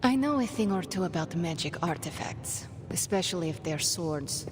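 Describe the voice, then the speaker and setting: A woman's voice: on the low side, lively intonation, normal speed, long reverb tail, music in the background, close to an everyday voice. An actress playing a cocky young female sorceress with a sword, talking in a wry, casual way. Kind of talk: video game cutscene acting.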